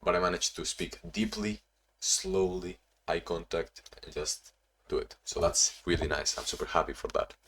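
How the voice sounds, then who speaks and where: A young man talking in a casual, reflective diary-style vlog, halting with frequent restarts and sounding a bit sleepy. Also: low-pitched, expressive, fairly bright tone, slight background noise. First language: not English; Portuguese not first language either. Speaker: vlogger.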